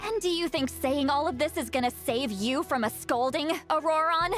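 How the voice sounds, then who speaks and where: Hot-tempered game heroine, high voice, lively swings, brisk stern scolding, faint music behind. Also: female voice. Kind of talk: video game voice acting.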